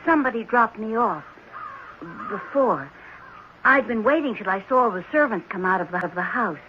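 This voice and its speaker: A woman's voice: medium pitch, expressive, normal speed, lightly acted. A woman playing an earnest, polite and determined young boy in a 1970s radio drama. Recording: dull, slightly noisy 1970s radio recording.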